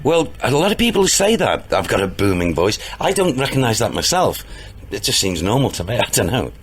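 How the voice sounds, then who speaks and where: Booming deep-voiced man, animated delivery. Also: a little fast, husky. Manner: earnest, rambling, with hesitations and restarts. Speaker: interview guest, spiritual medium. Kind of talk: casual interview talk.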